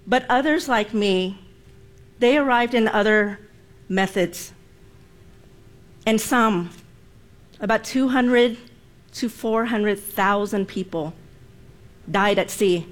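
A woman in her forties, born in Vietnam with Vietnamese as her first language, giving a prepared personal speech in a deliberate, emphatic way. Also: on the high side. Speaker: speaker.

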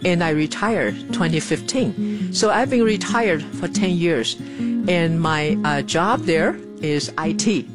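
A woman, speaking plainly and earnestly in an interview, with pauses and fillers. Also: sits low. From Taiwan. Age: 70s. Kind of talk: documentary interview. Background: music.

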